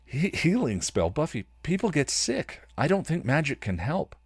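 Man doing an earnest soldier boyfriend, pitched up. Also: wildly swooping pitch, moderate pace, slightly rough, dry recording, studio quality, heavily acted. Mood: reluctant. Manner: cautious, supportive.

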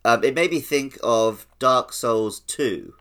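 A man's voice: mid-range voice, takes it slowly, pushed and bright. A man speaking casually and informally as a podcast co-host in a gaming discussion.

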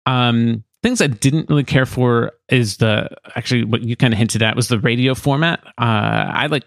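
Guy co-hosting a podcast, casual chat, mid pitch, really dry close sound. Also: normal speed, vocal fry, no room sound. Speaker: podcast co-host.

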